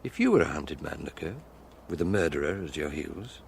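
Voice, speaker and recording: An actor playing a seasoned, methodical police chief inspector in a radio drama, deliberate and authoritative in his questioning. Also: extremely low pitch, expressive, vocal fry, a little hiss or hum, heavily acted. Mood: grave, musing.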